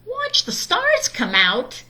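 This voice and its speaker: A woman voicing an eager, excitable young girl bear cub in a storybook. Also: extremely high pitch, slow, voice pushed up, exaggerated voice. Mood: complaining.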